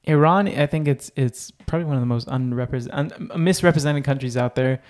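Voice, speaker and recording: A man's voice: mid-range voice, fairly quick, darkish tone. Eager man. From Israel. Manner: enthusiastic, repeats words for emphasis. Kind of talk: casual chat.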